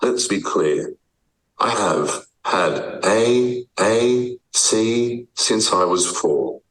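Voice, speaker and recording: Deep, slow synthetic Australian male voice. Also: lively intonation, fairly bright tone, roomy sound, recorded from a distance, low-quality recording. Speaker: guest, advocate. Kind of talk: typed text read aloud by speech software.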